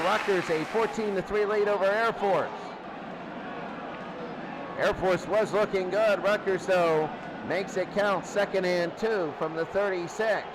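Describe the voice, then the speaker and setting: A man doing play-by-play American football commentary in short, exclamatory present-tense bursts. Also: sits high. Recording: noisy recording with loud crowd din. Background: loud crowd noise.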